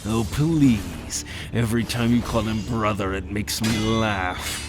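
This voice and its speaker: A man's voice: lowish voice, takes it slowly, music in the background. A man playing a cruel, arrogant merman prince in a fantasy audio drama, taunting with haughty contempt. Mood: gloating.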